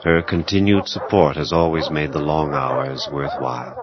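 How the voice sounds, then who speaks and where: An actor playing a self-absorbed scientist in an audio horror drama, speaking in formal, precise, clinical phrases. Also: male voice, rumbling low, fairly even intonation, normal speed, over loud music. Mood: wry, reflective. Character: self-absorbed male scientist, coolly clinical, obsessed with his work. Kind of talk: audio horror drama acting with first-person narration. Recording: dull lo-fi audio with faint noise.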